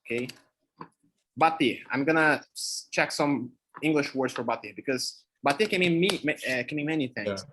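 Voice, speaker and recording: A man's voice: medium pitch, animated, moderate pace, a bit bright, a little hiss or hum. A young man whose first language is Brazilian Portuguese, speaking English with a Brazilian accent in a casual chat, thinking aloud and searching for words as he goes. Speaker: co-host teaching expressions.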